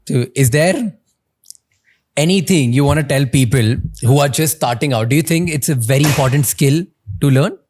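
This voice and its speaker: A man's voice: fairly high pitch, normal speed, darkish tone. A man hosting a podcast, asking curious prompting questions. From India. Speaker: podcast host. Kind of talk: podcast interview.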